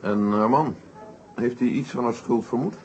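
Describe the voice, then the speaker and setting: An actor playing a detective's sceptical, plain-spoken companion in a Dutch radio play, asking probing, matter-of-fact questions. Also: male voice, mid-range voice, moderate pace, a little mellow, faint music behind, natural voice. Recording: dull old radio play recording.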